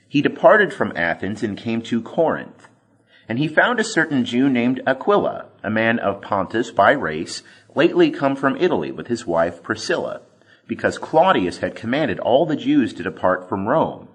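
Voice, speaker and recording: A man reading scripture as scripted audiobook narration, with expressive, deliberate emphasis. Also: mid-range voice, husky. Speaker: scripture reader.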